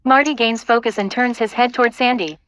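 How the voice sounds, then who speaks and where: Higher-pitched woman narrating a script. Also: steady tone, a little fast, fairly bright tone, rough recording. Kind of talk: scripted narration of stage directions.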